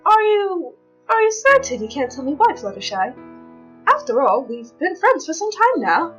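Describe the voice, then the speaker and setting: Casting: elegant, dramatic cartoon mare, affectionate and ladylike, high and lively, on a slightly muffled track. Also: normal speed, heavily acted. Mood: hurt, pleading. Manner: theatrical, ladylike. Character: refined, dramatic female unicorn pony, genteel and affectionate. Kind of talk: fan audio drama. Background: music.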